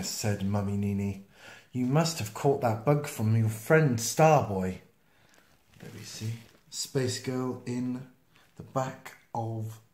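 A man reading a children's picture book aloud in an expressive, playful manner. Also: mid-range voice. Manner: expressive, slow, with playful sound effects and asides. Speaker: storytime reader. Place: small indoor room, seen close to the camera. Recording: roomy, echoey home video sound.

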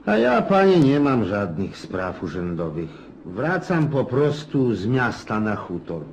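An actor playing a talkative, grumbling older country landowner in a radio drama, rambling in a folksy, world-weary yet jovial way. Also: male voice, on the low side, very animated, unhurried, slight background noise. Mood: wry. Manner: rambling, folksy, chuckling, exclamatory.

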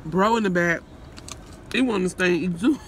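A woman in her thirties chatting casually and slangily to viewers as a vlogger, animated and often eating, filming herself inside a car. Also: on the low side, normal speed. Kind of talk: casual vlog chat. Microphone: phone at arm's length. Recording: noisy in-car phone recording.

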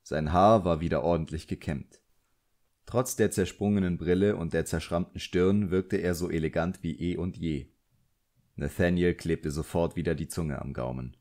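Deep mellow guy reading a novel. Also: moderate pace. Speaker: audiobook narrator. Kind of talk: scripted literary narration.

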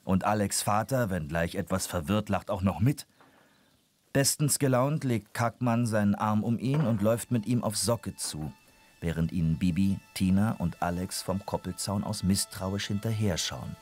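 A man's voice: lowish voice, normal speed, darkish tone, faint music behind. A man giving scripted narration for a children's audio drama.

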